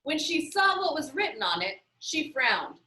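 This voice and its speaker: A woman reading a children's novel aloud in an expressive, animated way, acting out the dialogue as she goes. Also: high voice, moderate pace, echoey room.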